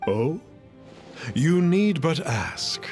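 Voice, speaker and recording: An actor voicing a grandly cryptic self-styled adept in a video game, with pompous, archaic, formal speech. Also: male voice, on the high side, big pitch swings, extremely slow, music in the background, exaggerated voice. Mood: lofty, composed.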